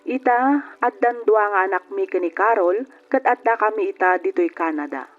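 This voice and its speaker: A man's voice: high-pitched, normal speed, natural voice. An Ilocano man playing a married man who narrates his past love reflectively in a radio drama. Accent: Filipino, Ilocano. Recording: muffled radio recording with music behind. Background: music.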